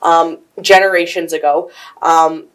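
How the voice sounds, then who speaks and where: A woman's voice: low voice, fairly even intonation, unhurried, rough recording. A young woman of Fiji Indian heritage hosting a casual talk show, chatty and full of "um"s and "like"s. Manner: chatty, many "um"s and "like"s. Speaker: podcast host. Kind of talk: casual chat.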